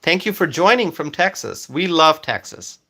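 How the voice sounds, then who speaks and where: A man's voice: fairly high pitch, very animated, moderate pace, pushed and bright. A man whose first language is Bengali, hosting a casual live stream, reading viewer comments aloud and thanking people by name, with frequent fillers.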